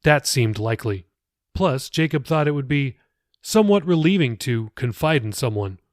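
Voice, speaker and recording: A man's voice: medium pitch, expressive, normal speed, no echo, clean studio sound. A man, an author, reading his novel aloud as scripted audiobook narration.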